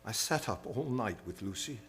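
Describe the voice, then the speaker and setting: An actor playing a grave, devoted gentleman reading his private journal in a husky voice, in old-time radio drama style. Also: lowish voice, animated, moderate pace, a little hiss or hum. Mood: grave, troubled.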